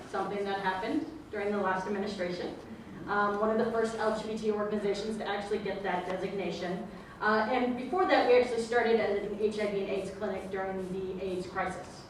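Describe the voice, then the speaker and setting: A woman addresses a community meeting, her loud, earnest voice carrying through the room. She stands well back from the microphone, and the space rings with heavy echo. Faint room noise sits underneath. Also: mid-range voice. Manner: earnest, speaks loudly by her own account. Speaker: guest speaker. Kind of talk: public talk at a meeting. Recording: echoey room, voice from far off.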